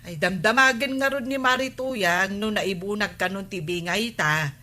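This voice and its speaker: A woman's voice: mid-range voice, moderate pace, sharp tone, natural voice. An actress playing an indignant, questioning village woman in an Ilocano radio comedy drama, speaking with an Ilocano accent.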